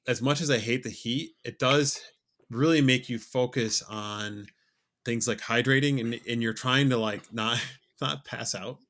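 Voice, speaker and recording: A young man co-hosting a podcast, talking in a relaxed, rambling way with many fillers. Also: mid-range voice, a little slow. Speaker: podcast co-host. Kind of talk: casual chat.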